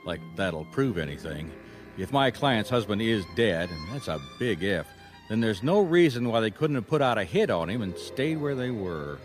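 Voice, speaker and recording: An actor playing a dry, world-weary private detective in a radio mystery, speaking in a matter-of-fact way. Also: male voice, fairly low pitch, big pitch swings, moderate pace, music in the background. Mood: reflective, wry. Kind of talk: radio mystery acting with first-person narration.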